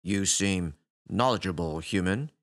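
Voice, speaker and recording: A man voicing a weary, touchy male space alien who talks like a growling tough guy, deep and gravelly. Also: animated, moderate pace, a bit bright. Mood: flat.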